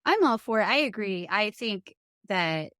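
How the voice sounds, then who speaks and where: A woman's voice: mid-range voice, big pitch swings, a little slow, fairly bright tone, no echo, studio quality. A woman hosting a podcast in casual, reflective conversation, thoughtfully choosing her words. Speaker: podcast host.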